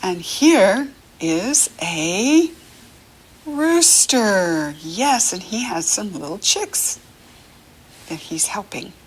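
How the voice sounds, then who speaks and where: American woman, children's read-aloud teacher. Unhurried, very lively pitch, encouraging and full of questions. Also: a little hiss or hum. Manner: encouraging, asks questions and leaves room to answer; animated, sing-song child-directed talk. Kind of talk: read-aloud picture-book lesson for young children.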